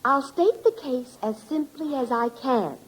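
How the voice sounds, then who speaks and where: A woman playing a sharp, teasing American newspaperwoman with a wry, pointed delivery in 1940s radio drama style. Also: high-pitched, animated, a little slow, poor audio. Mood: scolding, teasing. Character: sharp, teasing newspaperwoman on the police desk, skeptical, caring. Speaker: radio actor.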